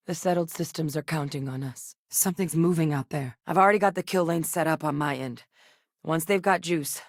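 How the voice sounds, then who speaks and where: A woman voice actress playing a determined, principled soldier-scientist in a space adventure video game, speaking earnestly and conversationally with military briskness. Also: deep voice, dry recording, clean studio sound, natural voice. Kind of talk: acted video game dialogue.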